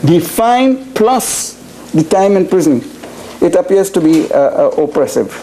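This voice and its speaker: Halting high-voiced male senator, noisy recording. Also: very animated, mellow. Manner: halting, questioning rhetoric. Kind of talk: parliamentary debate speech.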